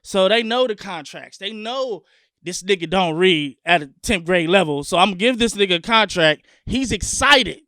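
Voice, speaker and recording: A man from Long Beach, California, a guest music artist talking in an animated, profane, emphatic way in a casual sit-down interview. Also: sits high, moderate pace, no echo.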